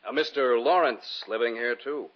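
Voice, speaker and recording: An American actor playing an easygoing, blunt police officer in an old radio drama. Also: male voice, medium pitch, moderate pace, natural voice. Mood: casual, sociable. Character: easygoing male police officer, blunt, puzzled by his host. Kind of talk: 1940s-50s American radio drama acting. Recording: dull, noisy old radio recording.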